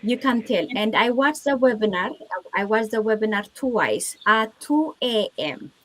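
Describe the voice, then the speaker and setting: A woman from Ethiopia speaks as a guest on a live video call. She tells her story in a clear, composed way and repeats key points for emphasis. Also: fairly high pitch, lively intonation, a little slow, a bit bright, low-quality recording. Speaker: guest business owner. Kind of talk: live online testimony.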